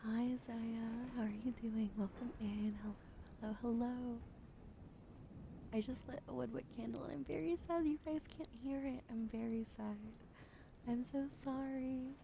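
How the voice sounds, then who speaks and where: A woman streaming ASMR, whispering softly to her chat and slowly spelling out follower names, now and then swearing. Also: on the high side, steady tone, vocal fry, background noise. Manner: slow and gentle, swears and laughs in between. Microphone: studio mic.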